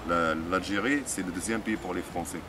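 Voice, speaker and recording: A middle-aged man from Algeria with an Algerian accent, a native Arabic speaker, answering interview questions haltingly with many fillers and restarts. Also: low-pitched. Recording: distant mic with street noise.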